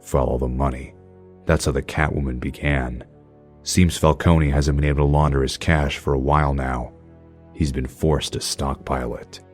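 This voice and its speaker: A man playing a brooding, commanding masked vigilante detective in an audio drama, terse and grave with a low growl. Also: steady tone, normal speed. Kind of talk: fan audio drama acting with noir narration. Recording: music under the voice.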